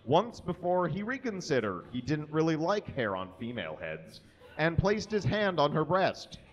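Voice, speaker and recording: A man in his thirties gives a live reading of a story from a small stage. His voice sits a little high and swings widely in pitch. He speaks into a microphone as a performer reading from a page. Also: big pitch swings, normal speed, dull audio. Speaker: reader at a live comedy show. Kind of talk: dramatic reading of fan fiction to an audience. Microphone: handheld or stand microphone.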